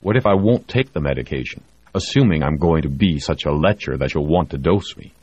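A man's voice: extremely low pitch, animated, brisk, darkish tone, husky, dry recording, dull audio, heavily acted. A man voicing a wary, uneasy young crewman who answers in short, guarded lines.

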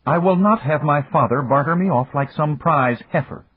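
Male narrator as young Venezuelan lady, earnest, formal, spirited, pitched up, husky, audiobook character. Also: very animated, normal speed. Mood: earnest, indignant. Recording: echoey room, muffled narrow-band sound.

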